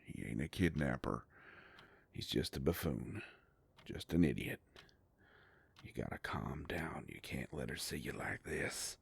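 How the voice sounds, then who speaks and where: A man playing a gruff, protective werewolf private eye in an audio roleplay, with a deep gravelly voice and a folksy, halting, gently teasing way of talking. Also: expressive, no echo, full character voice. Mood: tense, restrained. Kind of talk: scripted roleplay audio drama, intimate.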